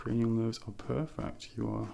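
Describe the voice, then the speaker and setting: A soft-spoken man playing a doctor in an ASMR role play, murmuring gentle, reassuring instructions close to the mic and sometimes whispering. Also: mid-range voice, little pitch movement, normal speed, creaky, a little hiss or hum. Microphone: close-up ASMR microphone.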